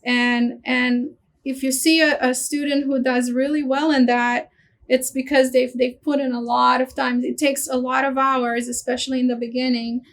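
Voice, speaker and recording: A woman's voice: high voice, level tone, moderate pace. A woman from Romania, a Romanian native speaker, talking in a webinar discussion over a video call, often repeating words as she searches for phrasing. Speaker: guest speaker, international office director.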